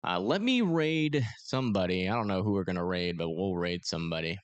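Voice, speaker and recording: Man, hoarse and strained voice, casual live-stream host, rambling self-deprecating chatter, dry close mic. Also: deep voice, expressive, fairly quick, no room sound. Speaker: storm-chasing livestream host. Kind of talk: live radar commentary. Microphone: close desk microphone.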